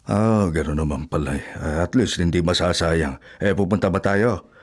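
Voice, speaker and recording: An actor playing a gentle, devout older father who soothes and advises his grown daughter in a radio drama. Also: low voice, normal speed. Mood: curious, practical. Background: music.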